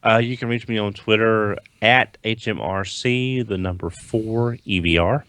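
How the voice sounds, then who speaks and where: A man's voice: fairly low pitch, unhurried, a bit bright, clear, resonant tone. A man speaking as a panelist in a casual podcast conversation. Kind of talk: casual chat.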